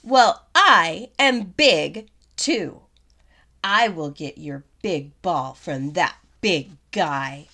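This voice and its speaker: A woman's voice: fairly low pitch, lively intonation, very slow, bright, forceful tone, voice pushed down, full character voice. Woman voicing an earnest, emphatic male elephant. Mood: determined. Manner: emphatic, dramatic. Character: earnest, anxious male elephant friend, eager to help. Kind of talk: storybook character voice.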